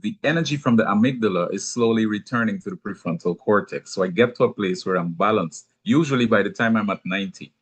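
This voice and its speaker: A man from Guyana speaks with a Guyanese accent in a calm, explanatory teaching talk. His voice sits fairly low and has a husky edge. He sets out his points in a measured way, with an occasional touch of gentle humor. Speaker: speaker on anger management.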